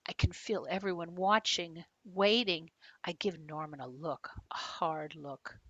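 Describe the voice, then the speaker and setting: A woman, a children's author, reading a storybook aloud expressively, with dramatic pauses and chatty questions to young listeners. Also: fairly low pitch, steady tone, fairly bright tone, no echo, dull audio.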